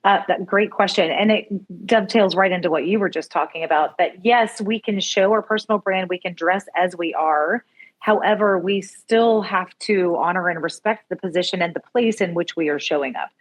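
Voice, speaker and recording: A middle-aged woman speaks as a podcast guest, giving style advice in a casual way. Her voice sits a little lower than most women's. She is emphatic and backs up her points with short affirmations. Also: normal speed, low-quality recording. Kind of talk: casual interview conversation.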